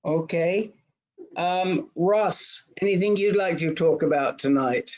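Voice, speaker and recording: A man's voice: high-pitched, slow pace, dull audio. A man moderating a support group in a casual, chatty, joking way over an online call.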